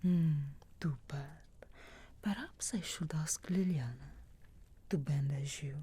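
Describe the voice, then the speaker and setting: A woman voicing a sharp-tongued, sarcastic but reluctantly caring witch in a slow, breathy, whispered ASMR fantasy roleplay. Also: low voice, full character voice. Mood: teasing, caring. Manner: slow, deliberate, dry sarcastic teasing.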